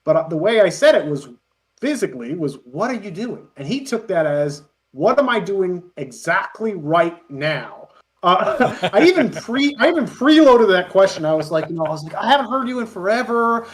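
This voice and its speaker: A man chatting casually as an interview guest, telling stories in an animated way and acting out the dialogue. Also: sits high, moderate pace. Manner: animated storyteller who acts out quoted dialogue. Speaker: interview guest, web parody creator. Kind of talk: casual anecdotal chat.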